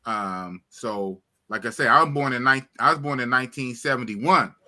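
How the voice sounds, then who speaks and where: A man in his fifties hosting a live talk stream, chatting casually and shouting out viewers by name. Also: medium pitch, moderate pace. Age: 50s. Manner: animated, shouts out viewers, repeats himself. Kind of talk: casual livestream chat.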